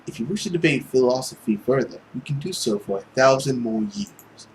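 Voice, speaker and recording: A man voicing a suave, mocking, ancient villain from a visual novel, with a theatrical, drawn-out and sardonic delivery. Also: medium pitch, expressive, noisy background, natural voice. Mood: smooth persuasion.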